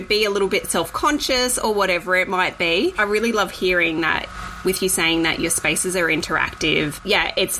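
A woman's voice: lowish voice, pushed and bright, a little hiss or hum, poor audio. A woman co-hosting a podcast in casual chat, speaking in an effusive, enthusiastic way. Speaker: podcast co-host.

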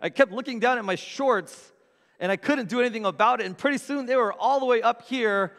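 A middle-aged man preaching a sermon, telling funny stories about himself with big swings in loudness. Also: very high voice, brisk, long reverb tail. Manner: animated, self-mocking storyteller with big swings in loudness. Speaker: pastor. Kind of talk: storytelling sermon. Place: church sanctuary with long reverb.

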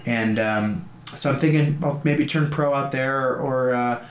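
A man's voice: medium pitch, normal speed. A man aged twenty in a casual interview chat, talking in a rambling, slangy, enthusiastic way as a fighter. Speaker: amateur MMA fighter being interviewed. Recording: echoey room with faint background noise.

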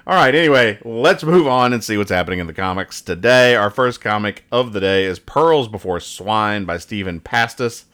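A man's voice: on the low side, expressive, normal speed, hardly any pauses, a bit bright. A man hosting casual banter as he reads out comic strips, with a rambling, joking, mock-serious manner. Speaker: podcast host.

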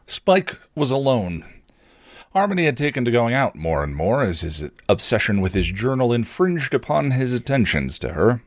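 A man reading fan fiction aloud as expressive story narration. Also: medium pitch, moderate pace, dry recording, clean studio sound. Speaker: fan fiction reader on a podcast.

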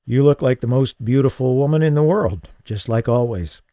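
A man's voice: mid-range voice, moderate pace, mellow, dry recording, clean studio sound, natural voice. A man voicing a guilt-ridden man who speaks in a choked, earnest way to someone he loves in an audiobook. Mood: tender. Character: guilt-ridden grown man, remorseful and tender.

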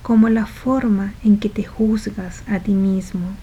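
Slow, mellow woman guiding a meditation. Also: mid-range voice, steady tone. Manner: soft, unhurried, phrase by phrase with trailing breathy endings. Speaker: meditation guide. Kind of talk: guided meditation.

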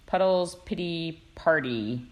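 A woman co-hosting a podcast in casual chat, playful and animated, often reading aloud. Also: low voice, takes it slowly, dull audio. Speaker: podcast co-host.

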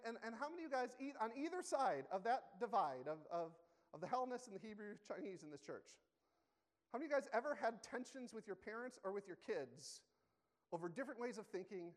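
A man's voice: high voice, animated, fast. Guy preaching in a church, chatty and loose, keeps fixing his own slips, long hall echo behind him. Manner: conversational, self-correcting, asks the congregation questions. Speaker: pastor. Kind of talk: sermon. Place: church sanctuary.

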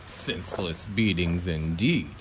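An actor voicing a scoffing villager who talks slowly and chuckles with sardonic relish. His voice is low but swings widely in pitch, with a faint hum underneath. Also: sing-song, heavily acted. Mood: mocking. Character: gruff, mocking village man who favors the rod. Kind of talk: radio drama acting.

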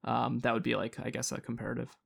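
A man's voice: mid-range voice, completely flat delivery, fast talker, vocal fry. A man co-hosting a podcast in casual chat, hesitant with many fillers and restarts. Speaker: podcast co-host.

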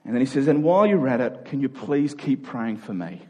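A man's voice: mid-range voice, expressive, fairly quick, darkish tone, highs cut off. A man preaching a conversational sermon, earnest, repeating key phrases for emphasis.

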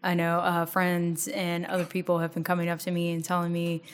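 A woman's voice: lowish voice, monotone, brisk. A woman co-hosting a casual podcast, upbeat and giggly with brief reactions. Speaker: podcast co-host.

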